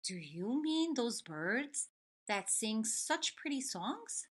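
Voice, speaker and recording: A woman voicing an odd, loud, cheerfully oblivious penguin from a children's picture book, blaring and exuberant. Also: a little high, big pitch swings, slow, breathy edge, pitched above the performer's own voice, exaggerated voice.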